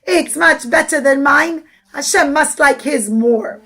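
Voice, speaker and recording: A woman voicing a humble poor man in a children's story, speaking earnestly and gently. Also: high voice, measured pace.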